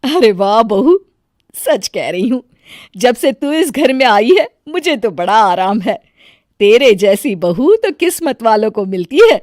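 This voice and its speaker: A fretful middle-aged mother-in-law played by a woman in a dramatised moral story, doting but quick to take offence. The voice is fairly high and swings widely, with slow, emphatic exclamations. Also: big pitch swings, darkish tone, no echo, studio quality. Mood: pleased, doting.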